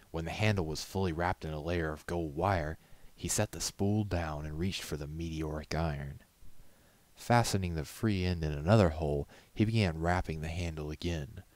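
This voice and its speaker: A man's voice: very deep voice, no echo. A man reading a story aloud as scripted storybook narration. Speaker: amateur story reader.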